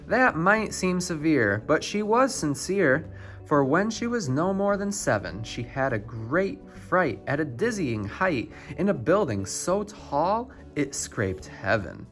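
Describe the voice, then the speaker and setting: A man narrates a rhyming picture book for children, expressive and theatrical. His pitch swings widely and he takes his time, with faint music underneath. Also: slow pace. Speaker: children's storybook reader.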